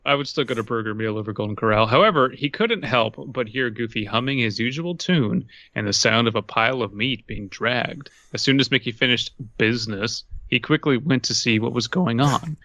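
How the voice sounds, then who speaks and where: A man's voice: medium pitch, a little fast, hardly any pauses, bright, forceful tone, no echo. A man co-hosting a comedy podcast, riffing wryly in casual banter. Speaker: podcast co-host.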